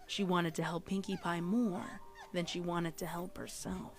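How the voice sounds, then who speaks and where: A woman's voice: on the low side, level tone, measured pace. A woman reading scripted fan fiction narration, with music playing behind her.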